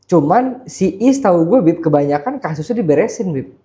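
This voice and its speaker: A man's voice: sits high, lively intonation, a little fast, dark tone. A man with a Jakarta Indonesian accent hosting a casual podcast chat, slangy and prodding with questions.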